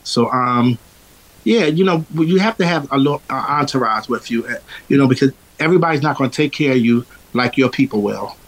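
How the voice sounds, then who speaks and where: A man's voice: medium pitch, a little fast, rough recording. Rambling man, singer telling road stories. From Philadelphia. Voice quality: strong, powerful voice. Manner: rambling, with many fillers and restarts. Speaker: singer and interview guest. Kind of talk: casual interview chat.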